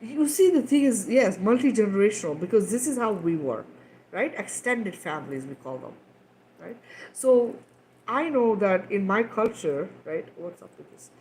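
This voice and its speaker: A woman's voice: medium pitch, animated, moderate pace, darkish tone, a little hiss or hum, poor audio. A woman who grew up in Kenya, leading a livestream talk and guided healing in a loose, repetitive way, often checking in with "right?". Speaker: spiritual healer on a livestream.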